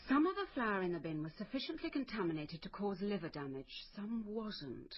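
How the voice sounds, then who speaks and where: A slightly dull radio drama recording of a brisk female lab scientist. She sounds husky and lively in pitch, with a precise, matter-of-fact delivery. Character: brisk, exacting female laboratory scientist colleague. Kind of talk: naturalistic radio drama acting.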